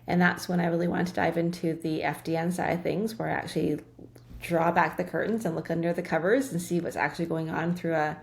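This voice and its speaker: A middle-aged woman, a nutrition practitioner, talking as a guest in a casual interview, quick and earnest with frequent restarts. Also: lowish voice, steady tone, vocal fry.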